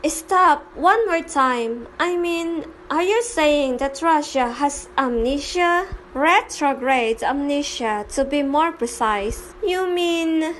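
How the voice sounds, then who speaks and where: A woman's voice: high voice, lively intonation, takes it slowly, hardly any pauses, fairly bright tone, slight background noise. A woman giving a scripted dramatic reading for a fan comic dub, voicing the dialogue and narration herself.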